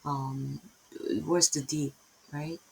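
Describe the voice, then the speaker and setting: Casting: middle-aged Filipino-accented woman, low gravelly voice, chatty self-interrupting Bible teacher. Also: steady tone, extremely slow, poor audio. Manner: rambling, self-interrupting, tags many lines with "right?". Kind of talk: casual unscripted Bible teaching.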